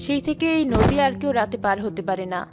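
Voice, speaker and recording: A woman with a high voice narrates a scripted tale. She is the storyteller, speaking over clear background music. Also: animated, fast talker. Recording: music behind the voice.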